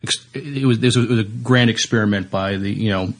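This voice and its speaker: A man co-hosting a podcast, talking casually and haltingly as he searches for words. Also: lowish voice. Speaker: podcast co-host. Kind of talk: casual discussion.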